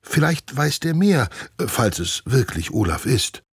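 Guy doing a hurried storybook squirrel girl, excited and rushed, high and bouncy, a bit husky. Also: no echo, clean studio sound, heavily acted. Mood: eager, urgent. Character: girl turned into a flying squirrel, hurried and eager.

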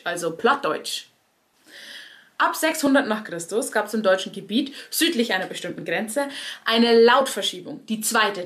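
Wry Bavarian woman explaining to listeners. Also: medium pitch. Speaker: cabaret artist. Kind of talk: scripted radio segment talking to listeners.

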